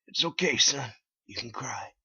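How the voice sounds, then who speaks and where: A man's voice: medium pitch, animated, measured pace, bright, forceful tone, husky, voice pushed up, exaggerated voice. A man voicing an elderly, heavyset, fatherly lumberjack in a dramatic story, folksy and emotional. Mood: tender, consoling. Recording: dull lo-fi, dry.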